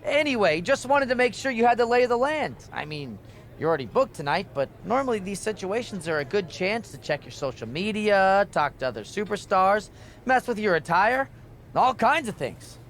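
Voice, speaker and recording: Chirpy, animated junior producer, noisy background. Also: male voice, high-pitched, moderate pace, full character voice. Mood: chummy. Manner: chummy, eager, officious. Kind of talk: scripted video game cutscene acting.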